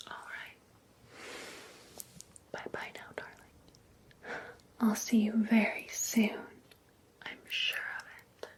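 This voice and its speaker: Slow whispering obsessed vampire ex-girlfriend, acted by a woman. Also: on the high side, completely flat delivery. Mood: teasing, longing. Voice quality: soft, breathy whisper. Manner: slow, coaxing, teasing, lingering on endearments. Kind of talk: whispered ASMR roleplay.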